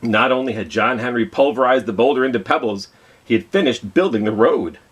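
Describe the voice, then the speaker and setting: A man's voice: mid-range voice, a little fast, husky. A man reading a picture book aloud as a children's storytime host, telling it dramatically and putting questions to his young listeners. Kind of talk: expressive children's read-aloud.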